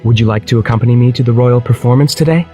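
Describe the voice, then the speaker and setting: A young prince of gallant, kindly temper, acted by a man, speaking in a medium-pitched male voice with courtly gentleness. It is storybook acting with light music underneath. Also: very fast, lightly acted. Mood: gentle, inviting.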